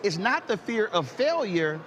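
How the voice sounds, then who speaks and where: A man's voice: high-pitched, very animated, unhurried, fairly bright tone, slight background noise. A man in his fifties hosting a conversational interview, speaking emphatically and repeating words as he builds a point. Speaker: talk show host. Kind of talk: conversational interview with personal anecdotes.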